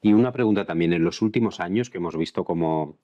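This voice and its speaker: A man's voice: low-pitched, fast, warm tone, no room sound, muffled recording. A man hosting a podcast interview, asking long probing questions and adding short acknowledgements in between. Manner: brief backchannels, longer probing questions. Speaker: podcast host.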